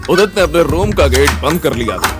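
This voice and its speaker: A man's voice: fairly high pitch, sing-song, brisk, a bit bright, soft background music. A man narrating a scripted Hindi audio story in a dramatic style.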